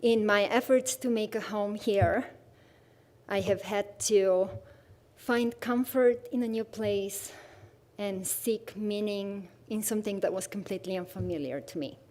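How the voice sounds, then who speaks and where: A woman with a Bulgarian accent, a native Bulgarian speaker, presenting her artwork in a soft-spoken, reflective, slightly nervous way. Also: medium pitch, unhurried. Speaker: artist presenting her work. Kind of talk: livestream artist talk.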